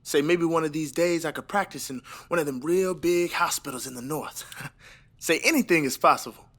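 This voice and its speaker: A man's voice: on the high side, moderate pace, a bit bright. Guy playing young 1920s newlywed husband, earnest n dreamy, big ambitions, kinda hesitant, audio play. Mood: hopeful. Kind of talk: stage play audio reading.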